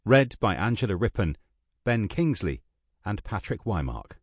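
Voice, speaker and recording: A man with a very deep, husky voice makes a formal audiobook announcement. His intonation moves expressively through the scripted credits. The recording is close and dry. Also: big pitch swings, normal speed, no echo.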